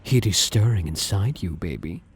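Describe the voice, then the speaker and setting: A man playing a possessive, domineering living shadow in an intimate ASMR roleplay, coaxing and commanding in a breathy, husky voice. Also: low-pitched, expressive, normal speed, exaggerated voice. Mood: yearning, domineering. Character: possessive, domineering living shadow, male, obsessively devoted lover.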